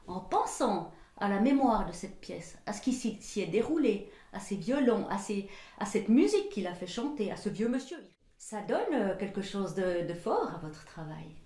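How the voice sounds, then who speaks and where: A woman in her sixties, from Belgium, speaking as a visual artist in a portrait interview, thoughtful and searching for words, her sentences often trailing off softly. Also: mid-range voice, echoey room. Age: 60s. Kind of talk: reflective documentary portrait interview.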